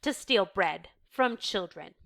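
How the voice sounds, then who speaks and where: A woman's voice: mid-range voice, animated, slow pace, vocal fry, a bit bright, full character voice. Woman acting a subdued, ashamed middle-aged man.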